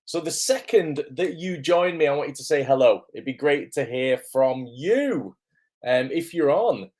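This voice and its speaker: A man with a hoarse, croaky voice from illness, hosting a casual livestream as an acting coach, greeting viewers and filling pauses with "um". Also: a little high, expressive, a little mellow. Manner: chatty, lots of "um" fillers, greets viewers by name. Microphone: camera microphone.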